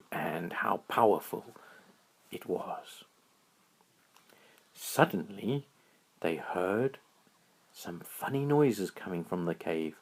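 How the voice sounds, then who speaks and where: A man narrating a children's bedtime story in a dramatic, drawn-out storytelling manner. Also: low-pitched, vocal fry, husky.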